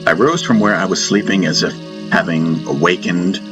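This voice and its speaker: A man narrating a first-person fantasy audiobook in measured storytelling fashion. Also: deep voice, over loud music.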